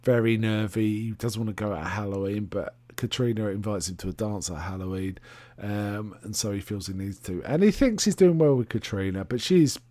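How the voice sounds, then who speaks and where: Halting man in a dry recording. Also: lowish voice, dark tone, no room sound. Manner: halting, rambling, self-correcting delivery. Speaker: host reviewing film and television. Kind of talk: casual solo review talk.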